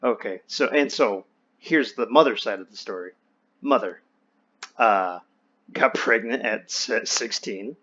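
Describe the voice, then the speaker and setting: A man's voice: fairly low pitch, a little slow, sharp tone, husky. A young man casually telling personal stories in a candid, wry, slangy and profane way over an online voice chat. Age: young adult. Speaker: friend telling his life story. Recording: dull lo-fi voice chat.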